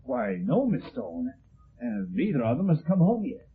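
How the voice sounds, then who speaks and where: An old, deferential manservant in a vintage radio mystery. His voice is very hoarse-edged and wavers widely in pitch, with halting, polite speech, on a thin 1950s recording. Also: wildly swooping pitch, narrow, tinny audio, full character voice. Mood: hesitant, polite. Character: deferential, hesitant old male family servant. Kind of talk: 1950s radio detective drama acting.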